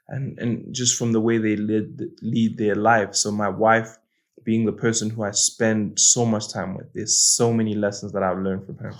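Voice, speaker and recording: Earnest low-voiced man from Malawi. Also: vocal fry. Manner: earnest, repeats words while thinking. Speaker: host. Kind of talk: casual reflective monologue.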